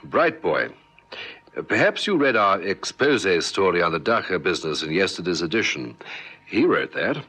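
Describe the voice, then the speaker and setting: A senior newspaper editor in a vintage radio serial. His voice is low, sharp and husky. He is courteous, forthright and likes to explain. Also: sing-song, normal speed, heavily acted. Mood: explanatory, forthright. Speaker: actor in a radio police drama. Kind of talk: old South African radio drama acting.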